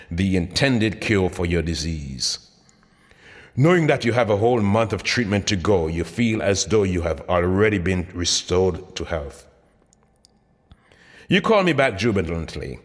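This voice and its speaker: Low-voiced man narrating in long reverb. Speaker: author reading own motivational audiobook. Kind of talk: scripted narration, motivational.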